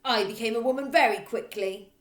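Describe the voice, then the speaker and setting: A woman voicing a bullying middle-aged headmistress in a storybook, who barks and shouts with open contempt. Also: on the high side, fairly quick, reverberant, voice pushed up, close to an everyday voice. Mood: scornful, loud.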